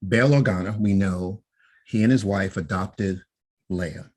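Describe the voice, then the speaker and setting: A man's voice: low voice, measured pace, a little mellow. A man co-hosting a casual podcast, laying out his fan theories and often checking in with "right?". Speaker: podcast co-host.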